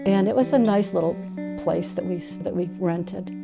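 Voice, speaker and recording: A woman's voice: lowish voice, moderate pace, vocal fry. A woman, sharing personal memories in an interview. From Maine, USA. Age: 70s. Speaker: grandparent being interviewed. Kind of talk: personal reminiscence. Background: acoustic guitar music.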